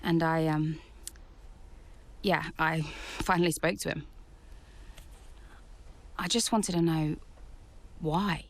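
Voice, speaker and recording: An actress playing a hurt, defiant young racing driver in a video game, confrontational and plain-spoken in a naturalistic dramatic style. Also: female voice, deep voice, steady tone, takes it slowly, pauses often, exaggerated voice. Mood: subdued. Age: young adult.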